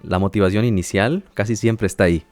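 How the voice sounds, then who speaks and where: A man hosting a language-learning podcast, speaking calmly and patiently like a teacher who checks in often with his listeners. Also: lowish voice, no echo, faint music behind. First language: Spanish. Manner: calm, measured, with teacherly check-ins and light laughs. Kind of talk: educational podcast monologue.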